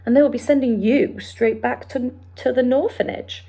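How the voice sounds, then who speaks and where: A woman putting on a kindly male giant, muddled grammar, husky, gravelly. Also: fairly high pitch, fairly even intonation, normal speed, mellow. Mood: stubborn. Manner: ungrammatical, invented words.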